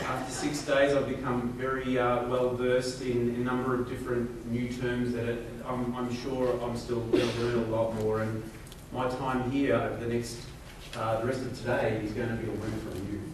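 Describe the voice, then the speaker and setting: A man's voice: mid-range voice, flat delivery, normal speed, few pauses. An Australian man in his forties giving a joking, self-deprecating rally speech with many fillers. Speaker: company executive giving a speech. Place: large event hall on stage. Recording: echoey hall, from far off, crowd noise.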